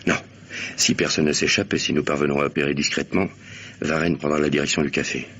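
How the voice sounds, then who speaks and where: An actor playing a curt, authoritative, impatient police commissioner in a radio mystery play. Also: male voice, very deep voice, flat delivery, fast, a bit bright, breathy edge, exaggerated voice. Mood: calm, watchful. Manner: curt, commanding. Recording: dull, noisy old radio drama recording.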